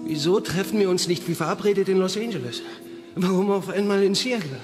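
Anxious, higher-voiced thriller hero. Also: male voice, animated, a little fast, over music. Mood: suspicious. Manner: urgent, questioning. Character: anxious, suspicious husband in danger. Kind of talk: German audio drama acting.

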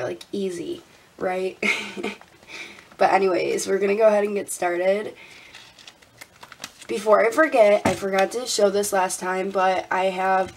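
A young woman vlogging casually at her desk. She sounds congested and a little raspy, laughs often and counts money out loud. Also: medium pitch, a little hiss or hum. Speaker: budgeting vlogger. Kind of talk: casual talk while counting cash. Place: indoors at a desk.

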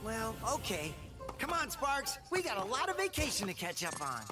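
A man's voice: very high voice, huge pitch swings, normal speed, exaggerated voice. An actor voicing a cheerful, cocky young male dragon hero in a video game. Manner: cheeky and upbeat. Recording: game audio with music behind.

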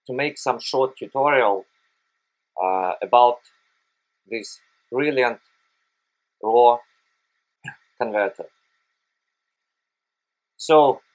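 Slow, halting Russian-accented man. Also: medium pitch, poor audio. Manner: hesitant, frequent "uh" pauses, careful non-native phrasing. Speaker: photography tutorial host. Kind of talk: screen-recorded software tutorial.

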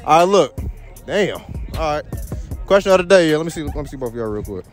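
A young man hosting a casual public interview at a school, joking loudly in profane slang, outdoors on a phone mic. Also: fairly high pitch, very animated, a little fast, faint music behind. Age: young adult. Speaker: street interviewer.

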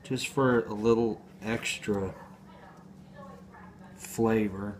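Man, 40s, home cooking host, lowish voice, rambling and deadpan, recorded on a phone in a noisy kitchen. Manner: slow, rambling, deadpan, with hesitations. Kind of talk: casual home cooking demo. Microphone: phone camera on the counter.

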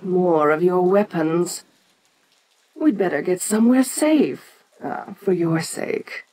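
A woman playing an ancient, domineering giantess kaiju queen in an audio roleplay, imperious and teasing yet protective, speaking with deliberate pauses. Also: on the low side, expressive, a little mellow, slight background noise, rough recording. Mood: commanding, reassuring. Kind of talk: second-person audio roleplay acting.

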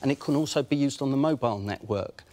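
Entrepreneur, male, forties, English accent, measured answers under questioning. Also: medium pitch, dark tone. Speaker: entrepreneur pitching. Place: large brick-walled studio, indoors.

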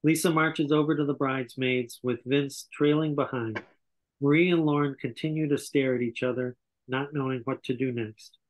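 An older man with a mid-pitched voice narrates a script at a table read on a video call. He reads the directions somewhat haltingly, correcting his words as he goes. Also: fairly even intonation, poor audio. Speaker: stage-direction reader. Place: indoor room on a video call.

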